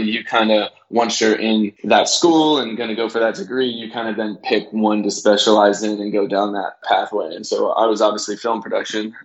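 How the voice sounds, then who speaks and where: An American man in his thirties from Texas, chatting casually as an interview guest on a slightly dull recording. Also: fairly low pitch. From Texas, United States. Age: 30s. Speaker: film director, podcast guest.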